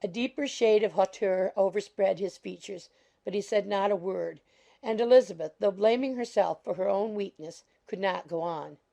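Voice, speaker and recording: A woman's voice: medium pitch, normal speed, gravelly, dark tone. A woman narrating a classic novel in a scripted, literary storytelling style. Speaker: audiobook narrator.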